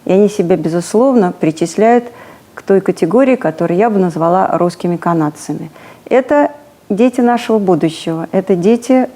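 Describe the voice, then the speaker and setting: Mellow-voiced woman, earnest school founder. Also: medium pitch, a little fast. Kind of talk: documentary interview.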